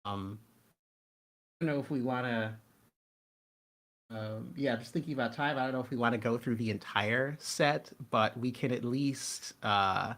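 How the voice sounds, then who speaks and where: A man hosting casual live stream commentary, talking in a halting, rambling way and often cutting himself off. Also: lowish voice, vocal fry, a bit bright. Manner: halting, rambling, self-interrupting. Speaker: host and show's writer.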